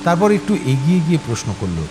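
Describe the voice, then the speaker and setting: A male storyteller reads with suspense and drama, his fairly high voice swinging widely in pitch. He performs the scripted tale expressively, giving questions an acted edge. Music plays clearly behind him. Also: very animated, fast, poor audio. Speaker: audio story narrator. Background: clear background music.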